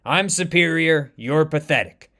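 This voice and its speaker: A man's voice: a little high, lively intonation, a little slow, sharp tone, full character voice. A man voicing a cold, arrogant male super-soldier villain who speaks in a smug, taunting way.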